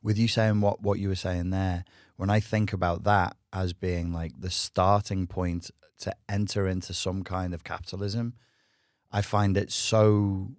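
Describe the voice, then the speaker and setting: A man's voice: low voice, flat delivery, a little slow, no room sound, clean studio sound. A man talking as a podcast guest, blunt and provocative, laughing often as he argues. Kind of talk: casual debate chat.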